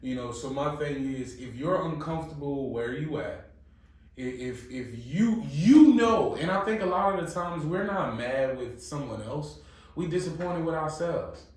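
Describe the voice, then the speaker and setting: A man's voice: fairly high pitch, expressive, measured pace, darkish tone, distant mic. Blunt New man in echoey room. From New Orleans, Louisiana. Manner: profane, emphatic, motivational. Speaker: podcast co-host. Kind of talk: casual chat, giving blunt advice.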